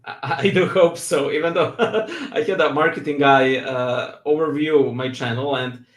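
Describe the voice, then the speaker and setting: A man's voice: mid-range voice, fairly even intonation, normal speed, echoey room. A young adult man from Serbia with a Serbian accent, whose first language is Serbian, speaking as a guest in a casual interview, laughing often and making self-deprecating jokes. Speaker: guest, church reader and YouTube creator.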